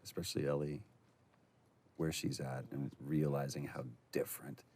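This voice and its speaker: A man's voice: rumbling low, flat delivery, normal speed, darkish tone. A man chatting casually as a commentator on video game cut scenes. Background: game cut-scene sound.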